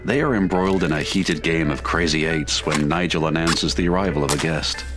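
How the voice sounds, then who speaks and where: A man's voice: very deep voice, a little fast. A man narrating a scripted drama, reading scene descriptions as a storyteller. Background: loud music.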